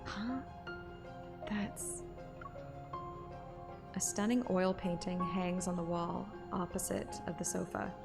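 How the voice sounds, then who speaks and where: A woman's voice: fairly low pitch, fairly even intonation, slow pace, creaky, long pauses, over music. A woman narrating a story like an audiobook, with casual streamer asides. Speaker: streamer reading a game story aloud.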